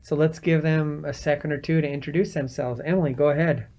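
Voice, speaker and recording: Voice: medium pitch, steady tone, brisk, mellow. A young podcast host giving a casual introduction, correcting themselves along the way.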